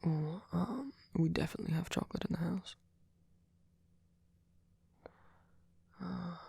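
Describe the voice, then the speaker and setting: A performer voicing a sweet, doting male vampire boyfriend in a breathy, hesitant ASMR whisper. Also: mid-range voice, no echo. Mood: tender, fussing. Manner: hesitant, halting, rambling, with soft filler murmurs. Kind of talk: ASMR roleplay, soft whispered comforting.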